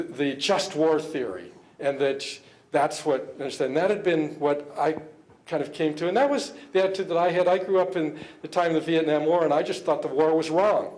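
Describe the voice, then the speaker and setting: An older man giving a public speech to an interfaith gathering. He talks earnestly and haltingly, often repeating words as he searches for the next phrase. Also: high-pitched, a little mellow, poor audio. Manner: earnest, measured. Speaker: government official speaking at an interfaith event. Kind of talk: public speech with prepared remarks.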